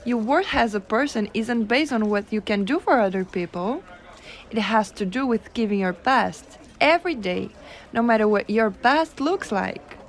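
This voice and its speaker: A woman playing a gentle, teasing giantess secretary in an ASMR roleplay, coaxing and cooing softly. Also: fairly high pitch, lively intonation. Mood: tender, reassuring. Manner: soft coaxing, cooing, unhurried.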